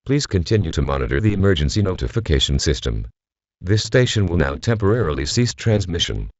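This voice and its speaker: Guy doing solemn vigilante hero speech to the nation, super deep, formal, dull lo-fi dry sound. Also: very animated, a little fast, no room sound. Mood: solemn, determined. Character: solemn masked vigilante addressing the nation in crisis. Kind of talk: scripted emergency broadcast speech.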